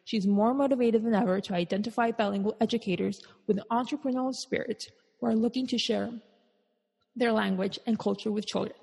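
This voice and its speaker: A woman's voice: medium pitch, vocal fry, warm tone, breathy edge. A woman podcast host reading a guest introduction and interviewing, mixing in Spanish words. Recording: long reverb.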